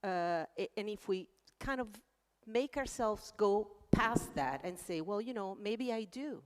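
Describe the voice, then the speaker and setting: A woman on a conference panel, speaking in an animated way and acting out inner thoughts. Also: medium pitch, measured pace. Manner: animated, acts out inner voices. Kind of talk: panel discussion. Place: conference hall. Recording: reverberant conference hall.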